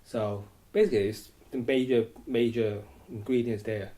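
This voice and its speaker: A man's voice: medium pitch, fairly even intonation, moderate pace, warm tone. A middle-aged man from Hong Kong, answering interview questions hesitantly, with many restarts and repeated words. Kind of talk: oral history interview.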